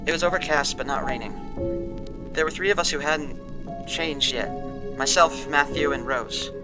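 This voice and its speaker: Over a clear musical score, a male actor plays a wary, shaken man with a fairly high voice. He gives his account like testimony in an audio drama, pausing for dramatic weight. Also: loud music behind the voice, close to an everyday voice. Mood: uneasy, haunted. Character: shaken, wary man recounting a frightening experience. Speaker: interviewee.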